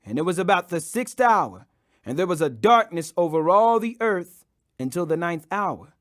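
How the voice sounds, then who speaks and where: A man narrates a scripture audiobook in a strikingly high-pitched voice. His delivery is solemn and declamatory, shaped as a dramatic reading. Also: big pitch swings, normal speed. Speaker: scripture reader.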